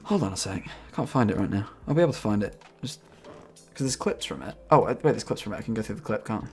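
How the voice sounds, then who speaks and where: A man hosting a livestream, chatting casually in a relaxed, rambling way and laughing often. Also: on the low side, fast, faint music behind. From United Kingdom. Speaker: streamer host.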